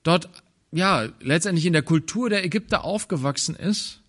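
A man from Germany giving a thoughtful sermon, pausing and restarting, sometimes dropping into quiet low asides. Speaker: preacher.

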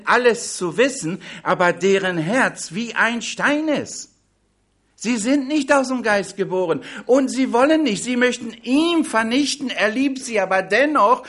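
A man preaching a sermon on a Bible passage in a language that is not his first, with slow, emphatic delivery that drops into quiet asides. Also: extremely high pitch. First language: not German.

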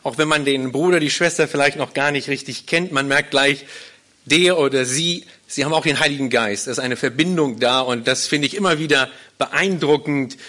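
A man's voice: medium pitch, sharp tone. A man in his forties from Berlin, a guest pastor speaking to a congregation in an earnest, explanatory way. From Berlin, Germany. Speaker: guest pastor leading a small church plant. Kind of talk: live interview, personal testimony to a congregation.

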